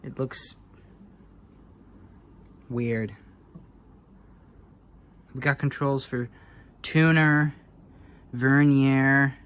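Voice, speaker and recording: A man giving a casual, unscripted show-and-tell as a hobbyist presenter, thinking aloud in an offhand, hesitant way. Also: mid-range voice. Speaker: hobbyist presenter showing vintage electronics.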